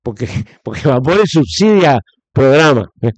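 A Puerto Rican man with a Puerto Rican accent, giving forceful opinions as a guest, with lots of fillers and restarts. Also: medium pitch, big pitch swings, measured pace, no echo. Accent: Puerto Rican Spanish. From Puerto Rico. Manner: emphatic, many fillers and restarts. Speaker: guest commentator. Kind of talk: opinionated conversational commentary.

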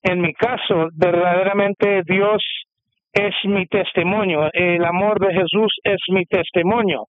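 Guest, male, from the US; high, unhurried, halting testimony on a muffled radio feed. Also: steady tone, no echo. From United States. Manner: halting, many fillers and restarts. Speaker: interview guest. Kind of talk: testimony interview. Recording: dull muffled radio sound.

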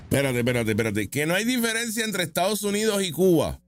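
A man's voice: sits high, big pitch swings, quick pace, soft background music. A man giving casual, opinionated reaction commentary, mocking and full of rhetorical questions. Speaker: political commentator reacting to street interview clips.

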